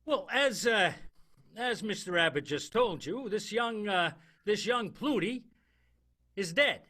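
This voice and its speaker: A man playing a halting, deliberate 1920s police detective in an improvised comic radio play. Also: sits high, lively intonation, pushed and bright, heavily acted. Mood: deliberate, authoritative. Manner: halting, deliberate, quasi-hardboiled patter. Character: folksy, star-struck police detective in 1920s vaudeville New York.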